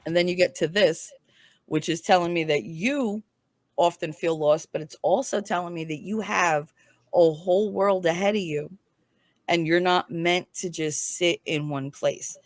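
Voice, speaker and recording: A woman's voice: on the low side, a little slow, no room sound, studio quality. A woman giving a casual tarot reading, speaking in a frank, informal, explanatory way. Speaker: tarot reader, guest.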